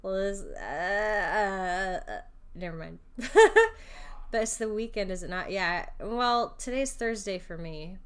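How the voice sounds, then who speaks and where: A woman's voice: mid-range voice, lively intonation, slow pace, fairly bright tone, smooth. A woman streamer chatting casually while gaming, sleepy and joking, with mumbled asides.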